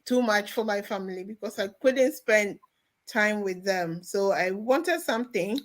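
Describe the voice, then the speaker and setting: A woman speaking in a measured, hesitant way in a live-stream group chat. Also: mid-range voice, expressive. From Ghana. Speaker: panel guest.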